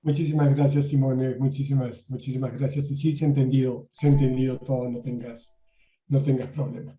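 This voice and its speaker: A man moderating an online academic seminar, speaking in a measured, polite way with frequent pauses. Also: mid-range voice, level tone, warm tone, echoey room. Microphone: video call.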